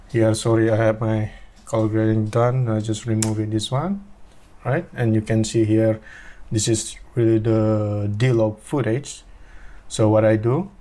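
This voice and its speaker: A young man whose first language is Indonesian, talking through his editing in a casual, halting home tutorial, often correcting himself. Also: on the low side, steady tone, mellow. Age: young adult. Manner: halting, self-correcting, apologetic. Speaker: drone video creator. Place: small room at home, washing machine running.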